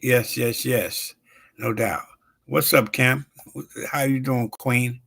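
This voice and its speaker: A man in his sixties hosting his own show, talking casually with lots of pauses and fillers and greeting viewers by name. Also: mid-range voice, steady tone, creaky, a bit bright. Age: 60s. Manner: rambling, halting, many fillers. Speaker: show host. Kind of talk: casual live-stream talk.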